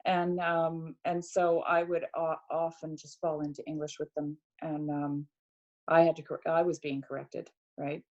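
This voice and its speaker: A middle-aged woman talks things through in a relaxed, casual way, pausing often and restarting her sentences. Her voice is slightly low and mellow. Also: lo-fi sound. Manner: reflective, hesitant, many fillers and restarts. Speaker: podcast guest. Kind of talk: casual conversation.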